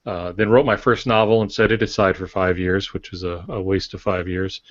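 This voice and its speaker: An older man chatting reflectively as a podcast co-host, unhurried and hesitant with frequent pauses. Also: sits low, level tone, no room sound. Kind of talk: casual reflective storytelling. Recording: dry but dull lo-fi audio.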